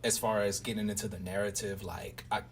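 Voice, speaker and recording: A man's voice: lowish voice, little pitch movement, brisk, gravelly, far from the mic, slight background noise. An American man from Baltimore interviewing in a casual, reflective, rambling way with plenty of slang. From Baltimore, United States. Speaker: interviewer, radio play writer.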